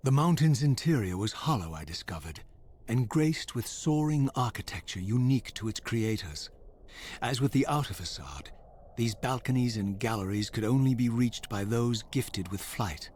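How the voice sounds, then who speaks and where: An actor playing a tormented vampire wraith in a video game, narrating solemnly with grave, formal, theatrical diction. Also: male voice, medium pitch, animated, moderate pace, darkish tone, close to an everyday voice. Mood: brooding, solemn. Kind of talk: dramatic scripted game narration.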